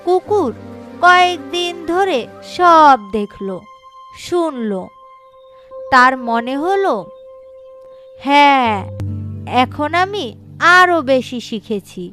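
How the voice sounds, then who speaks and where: A woman's voice: sits high, lively intonation, drawn-out words, pauses often, fairly bright tone. A woman narrating a scripted children's story as a storyteller. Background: background music.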